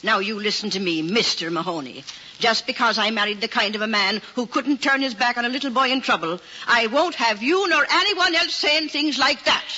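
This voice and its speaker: An actress playing a feisty, devoted American wife, mock-stern and affectionate, in an old screen comedy-drama. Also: female voice, fairly high pitch, brisk, sharp tone, long reverb tail. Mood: mock-stern, loving. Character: feisty, devoted wife scolding her husband fondly. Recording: muffled old film soundtrack.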